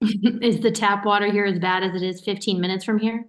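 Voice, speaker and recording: A woman acts out a caring sister in a stage play who likes to tease and is quick to get suspicious. She speaks in a natural, conversational way at a medium pitch. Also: fairly even intonation, lightly acted. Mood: teasing, casual. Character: caring, teasing, suspicious sister of a young man. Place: remote online staged reading.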